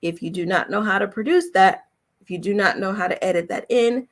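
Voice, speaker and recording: A woman's voice: medium pitch, brisk. A woman giving an informal lesson as a voice-over coach, speaking emphatically and instructively, repeating key points and often checking in with her listeners. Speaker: voice-over coach and demo producer. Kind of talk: informal teaching talk, coffee chat webinar.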